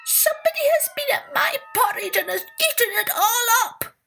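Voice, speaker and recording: A woman voicing a little upset baby bear with a squeaky voice in a children's fairytale. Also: animated, moderate pace, gravelly, background noise, heavily acted. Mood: tearful outrage.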